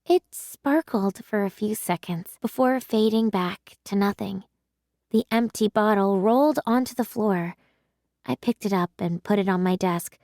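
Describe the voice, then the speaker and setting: A woman reading a children's story aloud with measured, dramatic storytelling. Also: mid-range voice, a little mellow, no echo, clean studio sound. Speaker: children's audiobook reader.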